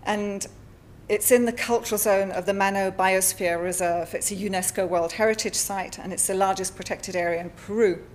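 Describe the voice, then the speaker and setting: A woman with a Spanish accent giving a conference talk over slides, halting often with fillers and self-corrections. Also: medium pitch, fairly even intonation, a little hiss or hum. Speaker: artist presenting at a symposium. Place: lecture hall.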